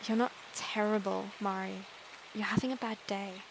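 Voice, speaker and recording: A woman voicing a kind young witch who calmly reassures a friend. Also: mid-range voice, normal speed, gravelly, background noise, natural voice. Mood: reassuring. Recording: music and rain behind the voice.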